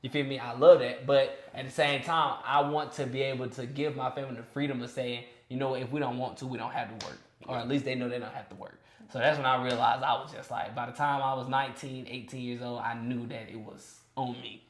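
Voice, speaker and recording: A man's voice: medium pitch, level tone, fairly quick, few pauses, slight background noise. A man in his twenties talking casually on a podcast, loose and earnest, often adding "you feel me" and "bro". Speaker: podcast speaker. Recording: echoey room.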